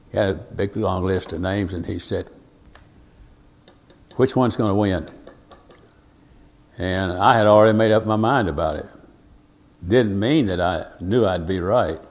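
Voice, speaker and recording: Older male preacher, lowish voice, informal and meandering Bible teaching with frequent asides. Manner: rambling, folksy, halting, full of asides and self-told anecdotes. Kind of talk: informal sermon to a congregation.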